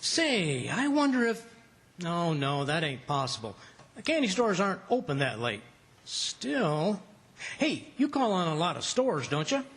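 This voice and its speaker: An actor playing a blustering, folksy husband given to fibs, in 1940s radio comedy style. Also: male voice, high-pitched, very animated, a little slow, a bit bright, breathy edge, full character voice. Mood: scheming. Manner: folksy, flustered, slangy. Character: blustering, fibbing, folksy middle-aged husband.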